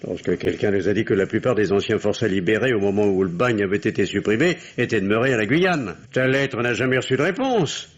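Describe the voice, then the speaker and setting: An actor playing a bitter, sardonic middle-aged husband and fallen surgeon in an old radio drama, articulating deliberately and theatrically. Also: male voice, on the low side, lightly acted. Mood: mocking, sardonic. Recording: dull, muffled old radio recording.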